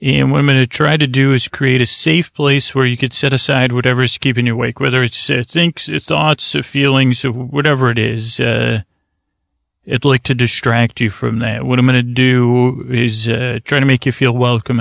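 A tired man with a creaky voice hosts a bedtime podcast, rambling and hesitant, meandering and trailing off mid-thought. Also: mid-range voice, little pitch movement, normal speed, fairly bright tone, no echo, clean studio sound. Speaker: podcast host.

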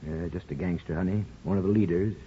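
An actor playing a gruff but soft-hearted middle-aged small-town father in a 1950s radio drama. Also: sits low, fast, slight background noise, close to an everyday voice. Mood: earnest, homely. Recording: muffled, thin 1950s radio with music.

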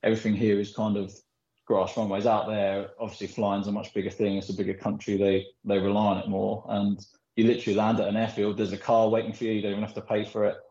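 A man's voice: on the low side, fast talker. A man, a pool player born in the Philippines, chatting as a podcast guest in rambling, filler-heavy anecdotes. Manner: rambling, animated storytelling with frequent fillers. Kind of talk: casual podcast interview. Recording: echoey, dull lo-fi recording.

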